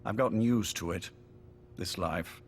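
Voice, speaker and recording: Casting: older, ailing spymaster for game drama; lowish voice, urbane, wry, quietly menacing. Noisy, rumbling recording. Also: male voice. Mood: calm, weary. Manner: urbane, measured, wryly polite. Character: genteel, sickly older British male spymaster, courteous but menacing.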